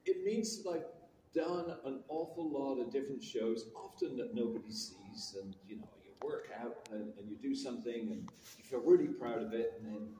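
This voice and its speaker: A man in his sixties with a British accent and a trace of Canadian, chatting on a convention panel as a self-mocking storyteller. His voice rises and falls a great deal as he talks. The sound is distant and roomy, with a long echo and clear background noise, as if picked up from far back in a hall. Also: medium pitch, expressive, moderate pace. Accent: British with a bit of Canadian. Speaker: guest actor on a convention panel.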